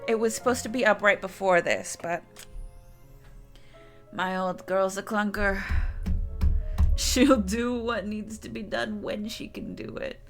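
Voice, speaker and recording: A woman's voice: medium pitch, fairly even intonation, a little slow, pauses often, fairly bright tone, faint music behind. A woman streamer chatting casually to her viewers, rambling and often restarting her sentences. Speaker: game streamer.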